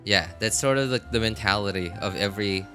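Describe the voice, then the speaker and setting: A man chatting casually as he streams a game, rambling and halting as he thinks aloud. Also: lowish voice, music in the background. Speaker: live streamer. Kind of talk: casual gaming stream chat.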